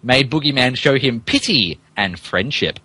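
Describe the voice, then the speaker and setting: A man's voice: mid-range voice, animated, normal speed, pushed and bright, slightly rough, no room sound. A man hosting and narrating, moving between casual chat and mock dramatic reading, amused and stumbling over garbled text. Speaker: podcast host and narrator.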